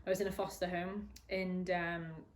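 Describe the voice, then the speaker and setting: Hesitant young woman, lowish voice. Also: level tone, normal speed, slight background noise. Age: 20s. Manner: hesitant, lots of restarts and ums. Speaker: interviewee sharing a personal story. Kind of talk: candid conversational interview.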